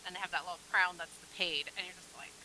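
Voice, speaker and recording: A woman's voice: lowish voice, steady tone, fast talker, pushed and bright, low-quality recording. A woman guest quilt designer in a casual chat, talking in an easygoing, hesitant way with frequent ums.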